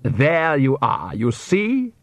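A man voicing a cheerful, pedantic vampire count and family father, with a smooth, self-satisfied, drawn-out delivery. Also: medium pitch, huge pitch swings, dry recording. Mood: cheerful, persuasive.

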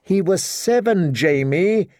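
A man voicing a fierce, anxious highborn woman who berates urgently, in an audiobook. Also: moderate pace, a little mellow, no room sound, studio quality. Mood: angry, urgent.

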